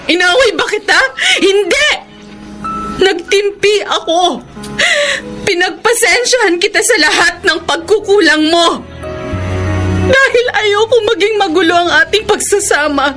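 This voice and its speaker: A bitter, betrayed middle-aged wife in a radio soap. Her voice is very high and anguished, breaking into tearful accusations. Music plays clearly behind her. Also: lively intonation, unhurried. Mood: tearful anger. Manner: tearful, loud accusing outbursts. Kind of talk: melodramatic Tagalog radio drama acting.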